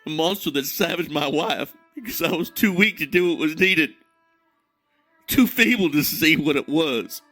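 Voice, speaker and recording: A man's voice: on the high side, animated, a bit bright, no echo. Brooding, guilt-ridden man over music. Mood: anguished bitterness. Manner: brooding, self-loathing, dramatic pauses. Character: ashamed, grieving man haunted by his own weakness. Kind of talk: dramatic fantasy monologue in audio drama.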